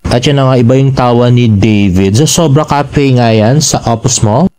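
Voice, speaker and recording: A man's voice: mid-range voice, moderate pace, darkish tone, smooth. A man with a Filipino accent hosting a fan vlog, chatting casually as he reads out social media posts and comments on them. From Philippines. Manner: chatty, mixes Tagalog and English. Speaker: fan vlogger and host.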